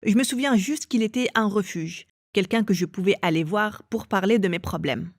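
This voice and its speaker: A woman telling her own story as an interviewee, in a calm and measured way. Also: mid-range voice, dry recording, clean studio sound. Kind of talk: personal testimony.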